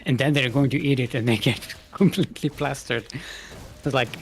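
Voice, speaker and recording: A man's voice: mid-range voice, normal speed, gravelly, a little hiss or hum. A man commentating an esports match in a casual, joking, rambling way with playful banter.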